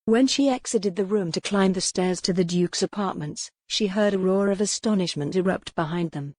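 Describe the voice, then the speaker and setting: A woman's voice: mid-range voice, normal speed, darkish tone, dry recording. A woman reading scripted historical romance narration as an audiobook narrator.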